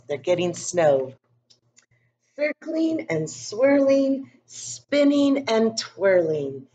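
A woman's voice: on the low side, a little hiss or hum. Woman reading to kids, dull lo-fi recording. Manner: expressive, deliberate reading to young children. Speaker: school read-aloud host. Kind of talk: children's picture book read-aloud with chatty asides.

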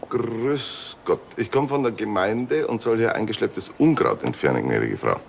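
A man's voice: on the low side, big pitch swings, moderate pace, vocal fry, slight background noise. An actor playing a cheeky, flustered young handyman with a colloquial, flippant manner in a TV drama. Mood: bluffing. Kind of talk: naturalistic TV drama acting.

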